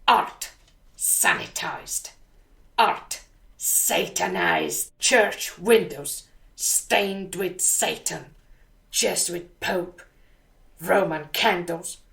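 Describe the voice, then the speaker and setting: A woman acting in a radio drama as an earnest budding performance poet, speaking deliberately. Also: on the low side, expressive, vocal fry, fairly bright tone, heavily acted. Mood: dark, incantatory.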